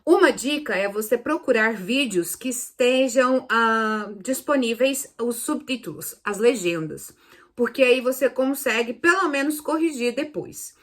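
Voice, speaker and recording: A Brazilian woman speaks in a fairly high voice as a teacher hosting an online lesson. Her delivery is casual and explanatory. She is didactic, often repeating and rephrasing the main points so they are easy to follow. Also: animated, unhurried. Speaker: Spanish teacher hosting a video lesson series.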